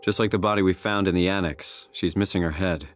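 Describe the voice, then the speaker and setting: A man plays a troubled, doubting everyman in a video game, with a low voice and a North American accent. He speaks naturally, hesitant and full of questions. Music plays clearly behind him. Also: fairly even intonation, a little fast, natural voice. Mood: wary. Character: anxious, doubting everyman lost in a hostile world.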